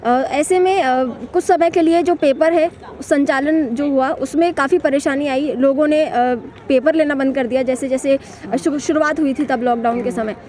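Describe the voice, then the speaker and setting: A woman's voice: high voice, steady tone, moderate pace, hardly any pauses, darkish tone. A woman reporter asking questions in a street interview outdoors in a park, with long, winding questions full of fillers.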